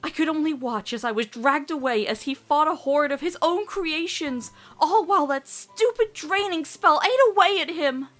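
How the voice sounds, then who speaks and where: A woman's voice: sits high, expressive, moderate pace, hardly any pauses, a bit bright, faint music behind. A woman voicing a devoted, earnest teenage girl in an emotional cartoon comic dub, pleading and faltering as she speaks. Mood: pained. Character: devoted, earnest teenage girl, fearful of losing loved ones.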